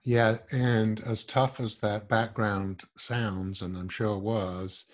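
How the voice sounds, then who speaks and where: A man's voice: on the low side, flat delivery, slow. An older man hosting a podcast interview from his home study, friendly and lightly joking as he introduces his guest on a video call. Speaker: podcast host. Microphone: wired earbud mic on a video call.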